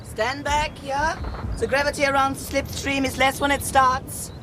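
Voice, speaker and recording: An actress as a German woman aircraft scientist in a wartime radio play. She is stern and ominous, speaking in short measured phrases. Noisy vehicle hum sits behind her. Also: high-pitched, flat delivery, close to an everyday voice. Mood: instructing, cool. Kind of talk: radio drama acting.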